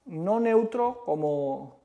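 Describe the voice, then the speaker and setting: A man from Cuba with a Cuban Spanish accent, co-hosting a casual chat in an emphatic, animated way. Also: sits high, drawn-out words. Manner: emphatic, animated, repeats rhetorical questions. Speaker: co-host.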